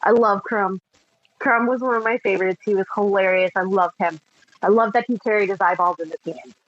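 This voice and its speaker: A woman co-hosting a podcast in casual chat, excitable and quick to laugh and exclaim. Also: medium pitch, lo-fi sound. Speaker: podcast co-host.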